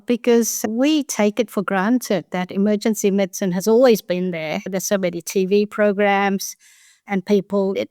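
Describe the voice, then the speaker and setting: Smooth-voiced middle-aged woman. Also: medium pitch, no room sound, studio quality. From Australia. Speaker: professor of anaesthesiology, guest. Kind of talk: podcast interview.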